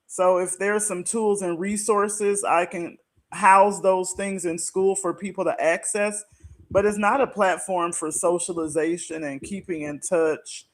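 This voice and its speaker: A man's voice: sits high, fairly even intonation, a little slow. A man talking casually as a podcast guest, explaining things in a conversational way. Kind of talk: casual conversation about online platforms.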